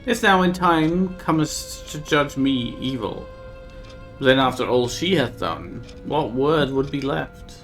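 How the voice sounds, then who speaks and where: A cryptic, uncanny woman of the frozen north, as a male streamer reads her lines, speaking in grave old-fashioned thee and thou. The voice sits at a middling pitch. Soft music plays faintly underneath. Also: animated, slow pace. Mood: defiant. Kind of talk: reading a text game aloud on a live stream.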